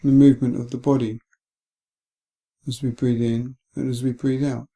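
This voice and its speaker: A man guiding a meditation in a gentle, calm, unhurried way, leaving long pauses between phrases. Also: medium pitch. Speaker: meditation guide. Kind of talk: guided body scan meditation.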